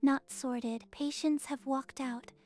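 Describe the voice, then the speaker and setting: A woman playing a soft-spoken young hygienist. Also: high-pitched, fairly even intonation, takes it slowly. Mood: earnest. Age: young adult.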